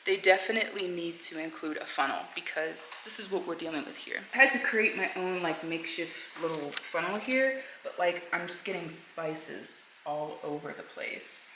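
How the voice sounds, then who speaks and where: A woman's voice: on the low side, moderate pace, few pauses, noisy background. A woman in her thirties talking casually to camera as a vlogger, chatting to her viewers as folks and y'all. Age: 30s. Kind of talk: casual vlog chat to camera. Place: home kitchen, then a car.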